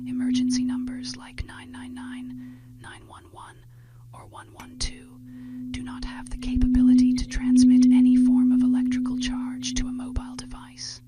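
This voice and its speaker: A woman reading a scripted bedtime narration in a soothing, measured ASMR style, close to the microphone. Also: high-pitched, monotone. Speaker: ASMR host.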